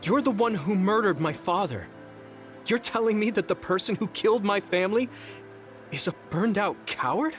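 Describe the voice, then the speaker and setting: A man's voice: sits high, animated, moderate pace, fairly bright tone. An actor playing an earnest, questioning young male soldier in a video game cutscene. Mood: hurt, angry. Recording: music behind the voice.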